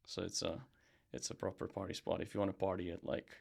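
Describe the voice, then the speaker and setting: Young guy from serbia, low gravelly voice, flat delivery, loose rambling chat with lots of ums. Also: quick pace. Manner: relaxed, rambling, many fillers and restarts. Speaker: podcast guest, brand founder. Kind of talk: casual interview chat.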